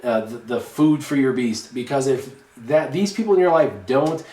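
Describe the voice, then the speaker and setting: A man on a talk show panel, chatting casually and haltingly, repeating phrases as he works out his point. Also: mid-range voice, animated, a little mellow. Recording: echoey, roomy sound.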